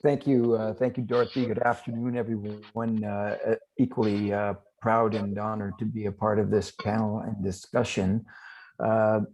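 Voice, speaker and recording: A man's voice: fairly low pitch, level tone, normal speed, a little mellow, slight background noise, low-quality recording. A middle-aged man, a former police chief, introducing himself formally with many fillers. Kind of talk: panel introduction.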